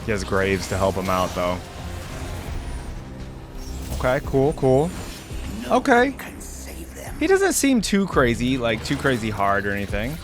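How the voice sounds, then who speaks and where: A man's voice: medium pitch, huge pitch swings, unhurried, pauses often, over music. A man giving casual reaction commentary, thinking aloud in a tentative way and sometimes reading text out. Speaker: reaction video host.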